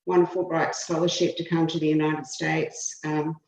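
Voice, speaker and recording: An Australian woman, a law professor, giving measured expert testimony to a committee. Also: sits low, level tone, a little mellow. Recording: roomy echo.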